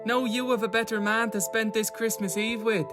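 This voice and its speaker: A woman's voice: a little high, steady tone, moderate pace, over music, natural voice. A woman playing a hearty, avuncular spirit of the present Christmas in a radio play, with a familiar manner. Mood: familiar, reassuring. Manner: familiar, calls listener "lad".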